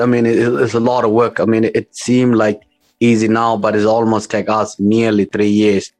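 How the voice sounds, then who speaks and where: Low, even-toned South Sudanese man. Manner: informal, repetitive, halting phrasing with non-standard English grammar. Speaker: company founder, interview guest. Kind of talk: conversational interview answers.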